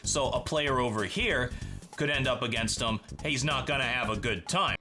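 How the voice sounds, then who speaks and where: A man giving clear, methodical explanatory narration for a gaming video. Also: medium pitch, moderate pace, music in the background.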